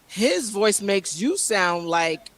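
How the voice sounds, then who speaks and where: A woman's voice: mid-range voice, big pitch swings, takes it slowly, no echo. A woman from New Jersey co-hosting a podcast, talking casually and repeating words for emphasis. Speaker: podcast co-host. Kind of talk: casual chat.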